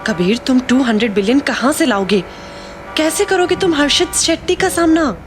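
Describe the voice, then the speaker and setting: A woman acts a poised young business partner in an audio drama. Her fairly high voice is composed yet sharp-tongued, with music clearly underneath. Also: lively intonation, normal speed. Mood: concerned.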